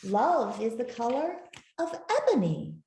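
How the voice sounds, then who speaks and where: A woman reading a picture book aloud to children in a gentle, expressive storytelling manner. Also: on the high side, a little slow, slight background noise. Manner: gentle, expressive, with soft asides to listeners. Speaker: author reading her own picture book. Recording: roomy, echoey sound.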